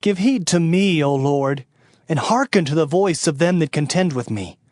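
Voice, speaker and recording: A man's voice: a little high, animated, normal speed, a little mellow, dry recording, clean studio sound, natural voice. A man playing an impassioned prophet who cries out warnings in a dramatized scripture reading, declaiming with fervor. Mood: pleading, vengeful. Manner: fervent, declamatory, proclaiming. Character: Jeremiah, impassioned male prophet crying out warnings. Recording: prominent music bed behind voice.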